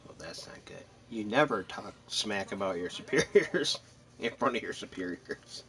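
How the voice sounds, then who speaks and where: A man's voice: lowish voice, lively intonation, moderate pace, a bit bright, slight background noise. A man giving casual gameplay commentary, rambling and joking as he thinks aloud. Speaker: gamer commenting on a playthrough.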